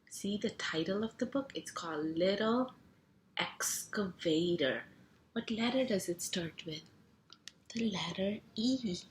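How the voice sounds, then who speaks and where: A woman reading a picture book aloud to young children in a sing-song, animated way, stretching sound words and asking simple questions. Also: mid-range voice. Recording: roomy echo, clear background noise.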